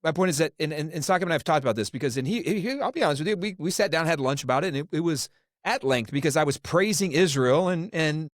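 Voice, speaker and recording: A man's voice: on the high side, lively intonation, quick pace, no room sound, clean studio sound. A man hosting a political podcast, opinionated and rambling, restarting his sentences often. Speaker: podcast host. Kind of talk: casual political discussion.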